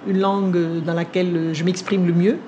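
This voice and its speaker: Older woman, Vietnamese native, mellow warm tone, slow thoughtful pauses, faint room hiss. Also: fairly low pitch, little pitch movement, muffled recording. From India. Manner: measured, thoughtful, with frequent pauses and hesitations. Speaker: cookbook author. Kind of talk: sit-down interview. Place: living room indoors.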